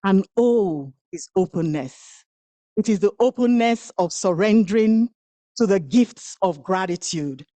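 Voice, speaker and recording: A woman whose first language is Igbo performs spoken-word poetry in a slow, measured rhythm, letting each phrase land before the next. Her delivery is incantatory, with long pauses between lines. The sound is close and dry. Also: medium pitch, animated, warm tone, no room sound. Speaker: speaker at a women's event.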